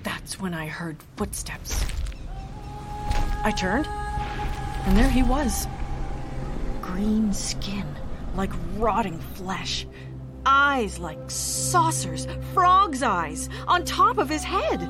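An actress playing a folk-tale heroine, recounting a perilous adventure in suspenseful, measured audio drama narration. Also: female voice, medium pitch, big pitch swings. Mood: hushed dread. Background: music.

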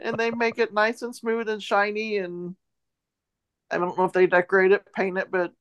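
A woman talks casually as a co-host. Her pitch sits in the middle, and the sound is close and very dry. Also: level tone, moderate pace, clean tone, no echo, lo-fi sound. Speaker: podcast co-host. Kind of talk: casual chat.

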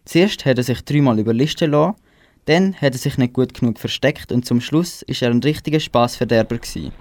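Teenage boy narrator, medium pitch. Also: brisk, a little mellow. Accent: Swiss German. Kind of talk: scripted amateur storytelling.

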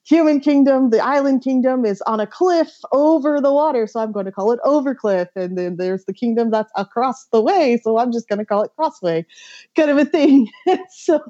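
A woman chatting casually as a guest author on a podcast, giggly and animated, peppering her storytelling with "like". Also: high-pitched, moderate pace, muffled recording.

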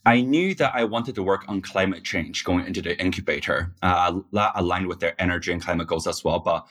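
A man in his thirties from Taiwan, a startup founder giving measured answers in an interview. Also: low voice, fairly bright tone.

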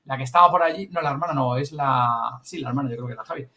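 A man with a Spanish accent from Spain tells an anecdote casually as a podcast host, colloquial and animated. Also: mid-range voice, quick pace, distant mic. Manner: animated storyteller, colloquial, swears casually, quotes others. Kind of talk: casual anecdote telling among colleagues.

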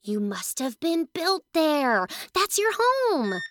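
Woman playing a high-voiced, bossy young girl. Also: big pitch swings, slow, full character voice. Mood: determined. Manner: bossy, impatient. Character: bold, scheming young girl detective. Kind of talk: scripted family audio drama.

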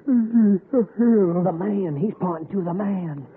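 A man's voice: sits high, slow pace, very noisy. An actor playing a naive, earnest young hill man with an Appalachian accent in a 1960s radio suspense drama. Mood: urgent. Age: young adult. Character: earnest, naive young Appalachian hillbilly, eager to seem grown. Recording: tinny vintage radio with loud music.

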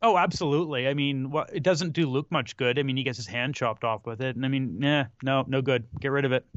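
A man chatting casually as a podcast co-host, halting and searching for words, with dry tongue-in-cheek humor. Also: mid-range voice, dry recording, lo-fi sound. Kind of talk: casual film discussion.

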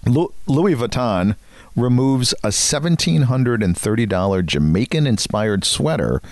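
A man's voice: mid-range voice, lively intonation, a little slow, darkish tone, dry recording, clean studio sound. A man in his fifties or older, co-hosting a podcast with casual comic banter. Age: 50s or older. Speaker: podcast co-host.

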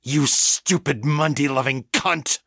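A man's voice: on the low side, big pitch swings, normal speed, pushed and bright, husky, dry recording, full character voice. A menacing male thug played by a male narrator in an audiobook story. He snarls and spits his words with a violent temper, in a close, dry sound. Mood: roaring rage.

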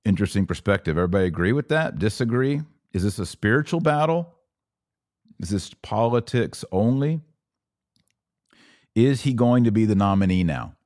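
A man's voice: fairly low pitch, animated, normal speed, darkish tone, no room sound, clean studio sound. A man with an American accent hosting a live commentary podcast, conversationally reading out viewer comments, posing questions and thinking aloud. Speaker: podcast host.

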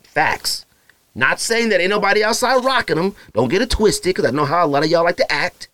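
A man in his thirties with a naturally deep voice, hosting a podcast in loose, slangy casual chat full of fillers and asides to his listeners. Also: expressive, fairly quick, fairly bright tone. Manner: feels hot and uncomfortable while recording. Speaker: podcast host. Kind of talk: casual solo podcast rambling.

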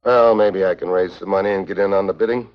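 An actor plays a tough American secret agent from a 1950s spy series. His voice sits low, and he talks in a wry, hard-boiled, unruffled way. The old soundtrack is dull and narrow. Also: fairly even intonation, quick pace, close to an everyday voice. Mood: cool, firm. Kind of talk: 1950s television spy drama acting.

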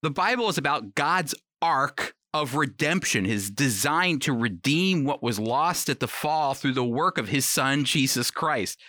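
A man's voice: mid-range voice, expressive, a little slow, few pauses, sharp tone, dry recording. A man giving a conversational Bible teaching for a program, speaking emphatically and directly to the listener with rhetorical questions. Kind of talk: conversational teaching sermon, prepared radio talk.

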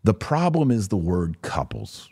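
A man's voice: on the low side, huge pitch swings, measured pace, mellow, slightly rough. A man hosting a religious podcast, giving opinionated, emphatic commentary and answering viewer comments, often repeating phrases to drive a point home.

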